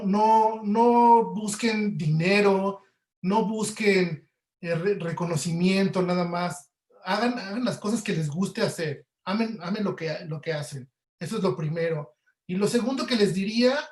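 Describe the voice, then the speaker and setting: A man with a Mexican accent, giving conversational answers as a guest audio producer in an online webinar. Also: high-pitched. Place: indoor room, online webinar call. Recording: roomy, echoey sound.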